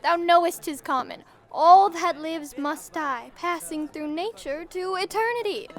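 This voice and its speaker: A woman playing a gently pleading queen and mother, earnest and formal, in a Shakespearean audio drama. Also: very high-pitched, unhurried. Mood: coaxing, gentle.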